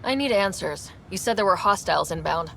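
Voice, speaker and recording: A woman's voice: lively intonation, fairly quick, creaky, fairly bright tone, poor audio. A woman playing an assured, direct expedition commander in a video game. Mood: resolute. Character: young woman pathfinder, steady, teasing expedition commander. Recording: faint engine rumble behind.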